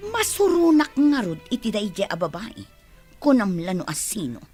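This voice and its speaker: A woman playing an uneasy, defensive grocery seller in an audio drama, conversational and expressive. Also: mid-range voice, normal speed, faint music behind, natural voice.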